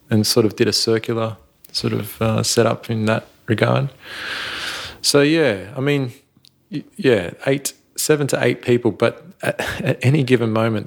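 A man, a sound engineer guest chatting in a relaxed, rambling way and laughing often. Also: fairly low pitch, gravelly. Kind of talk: casual interview talk.

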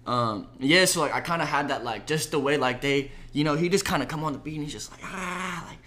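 Young male musician, soft-spoken and slangy, relaxed casual chat. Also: fairly high pitch, brisk. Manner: laid-back slangy talk, lots of "like" and "bro". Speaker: musician talking about his EP.

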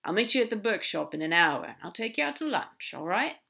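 A woman's voice: mid-range voice, very animated, a little fast, gravelly, sharp tone, full character voice. A woman voicing a brash, affectionate male demon in audiobook dialogue, blunt, casual and emphatic. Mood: breezy, insistent.

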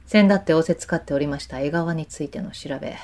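An actor playing a righteous, dutiful young samurai officer in a Japanese period audio drama, with formal, respectful, archaic speech. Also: male voice, on the high side, fast talker, darkish tone, close to an everyday voice. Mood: formal, restrained.

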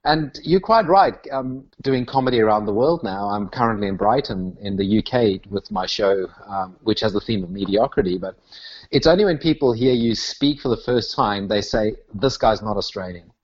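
A man with a South African accent giving reflective, conversational interview answers in a thoughtful, halting way that rephrases as it goes. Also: lowish voice, lo-fi sound. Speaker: interview guest, touring comedian.

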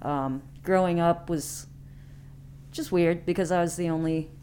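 An American woman in her thirties with a notably low voice tells personal stories as an interview guest. She speaks informally, thinking aloud as she goes. There is a faint hum in the recording. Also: fairly even intonation, a little slow, mellow. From Independence, Missouri, United States. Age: late 30s. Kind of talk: casual chat, personal storytelling.

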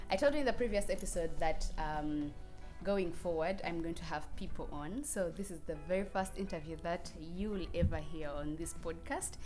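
A calm, soft-spoken woman with a Ugandan accent hosts a friendly podcast chat. Her voice sits a little low. Music plays behind her. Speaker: podcast host.

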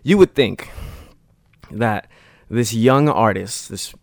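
A man's voice: fairly low pitch, slow, creaky, no room sound, studio quality. A man hosting a podcast, talking in a conversational, earnest way with his guest. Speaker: podcast host. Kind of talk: casual podcast talk. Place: studio.

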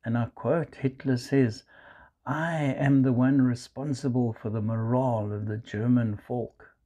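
A man with a South African accent, preaching a measured sermon and quoting scripture. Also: medium pitch, steady tone, dark tone, dull audio. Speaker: pastor.

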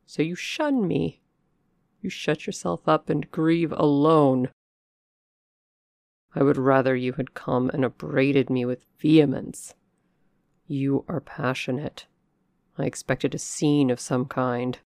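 A stern, passionate gentleman who broods and reproaches, read by a woman. The voice sits low with a gravelly edge and moves at an unhurried, heavy pace. It is recorded close and dry, with no room sound. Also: fairly even intonation, dry recording, exaggerated voice. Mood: brooding.

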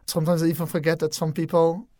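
A woman's voice: low voice, level tone, fast, dark tone, dry recording. A young woman with a Dutch accent, a native Dutch speaker, talking casually and thoughtfully on a student podcast with frequent hesitations. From Netherlands. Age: young adult. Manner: thoughtful, hesitant, many "um"s and restarts. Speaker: international exchange student.

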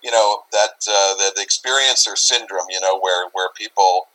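Casting: male interview guest, lowish voice, conversational, loose and repetitive phrasing. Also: normal speed, bright, forceful tone, poor audio. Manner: rambling, repeats phrases, often says "you know". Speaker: interview guest, paranormal investigator.

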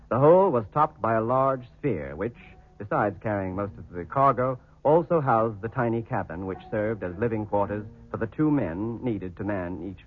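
Muffled old radio drama: grave British space chronicler, low and rough-edged, deliberate storytelling. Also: male voice. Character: solemn male crewman narrating a space chronicle. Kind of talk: 1950s radio serial narration. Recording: muffled, band-limited 1950s radio recording.

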